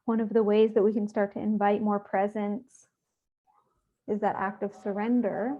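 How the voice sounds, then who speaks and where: A woman leading a guided meditation, speaking gently and without hurry, with soothing instructions and pauses. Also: medium pitch, little pitch movement. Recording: thin, phone-like narrow-band audio.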